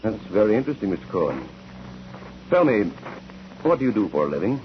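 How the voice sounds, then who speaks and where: On a dull, slightly noisy old radio recording, a low-voiced male examiner presses witnesses with formal, deliberate questions. His delivery is animated, in the style of 1940s courtroom drama. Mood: pressing.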